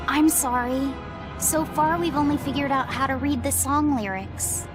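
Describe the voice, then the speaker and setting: Woman voicing an earnest, high-voiced young boy. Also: normal speed, lightly acted. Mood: apologetic. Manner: polite, earnest. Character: eager, earnest, innocent young boy scholar. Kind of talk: video game cutscene voice acting. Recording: game music loud behind voice.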